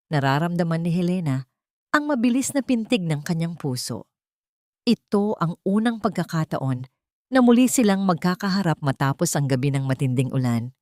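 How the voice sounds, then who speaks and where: A woman's voice: lowish voice, darkish tone, dry recording, clean studio sound. A woman narrating a dramatic story from a script.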